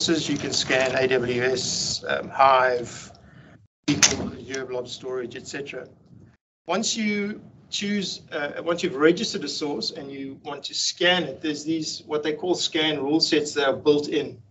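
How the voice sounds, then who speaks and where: A man presenting a technical meetup talk over slides on a video call, explaining in a relaxed way with frequent ums and restarts. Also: a little high, unhurried. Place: home room on a video call. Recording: dull lo-fi video call audio with faint hiss.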